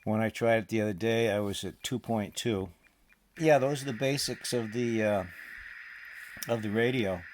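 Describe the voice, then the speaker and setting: A man giving an informal hands-on demonstration as a radio hobbyist, speaking haltingly and often hesitating. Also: lowish voice, a little hiss or hum. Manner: halting, hesitant, throat-clearing.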